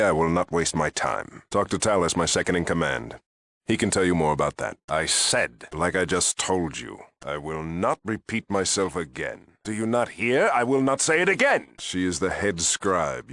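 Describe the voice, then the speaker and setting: An actor playing a stern, short-tempered head paladin in a video game, with a curt, formal, commanding delivery and a gravelly voice. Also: male voice, low-pitched, moderate pace, a bit bright, no room sound. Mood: impatient, then angry. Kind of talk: video game character acting.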